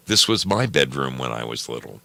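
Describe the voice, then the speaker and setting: Man voicing a secretive babysitter, low and creaky. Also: big pitch swings, fairly quick, sharp tone, dry recording, exaggerated voice. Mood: cryptic, instructing. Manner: instructing, matter-of-fact. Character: mysterious babysitter, calm and secretive woman.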